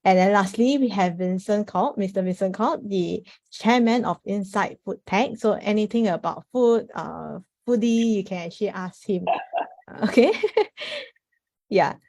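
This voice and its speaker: A woman hosts a panel event with a soft, mellow tone and an average pitch. She addresses the audience informally, dropping in "you know" as she goes. The sound is dry and close. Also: unhurried, no echo. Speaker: panel moderator. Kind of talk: spoken event introduction to an audience.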